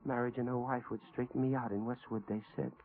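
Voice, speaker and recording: An actor playing a calm, brooding escaped killer with quiet menace in a 1940s radio thriller. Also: male voice, mid-range voice, fast, vocal fry, natural voice. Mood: wistful, brooding. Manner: quietly menacing, matter-of-fact. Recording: tinny 1940s radio recording.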